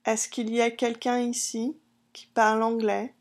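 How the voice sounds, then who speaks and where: A woman with a fairly high voice leads a phrase-drill lesson. She speaks at an unhurried, deliberate pace and says each phrase clearly several times over so it can be copied. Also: flat delivery. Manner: slow, carefully repeated phrases. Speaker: language teacher.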